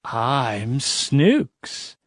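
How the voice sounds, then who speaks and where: A man's voice: mid-range voice, big pitch swings, drawn-out words, dry recording, studio quality, heavily acted. A man playing a smug, pompous salesman hero in a parody radio advert. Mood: smugly reassuring. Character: mysterious male helper from a cupboard, smug salesman hero.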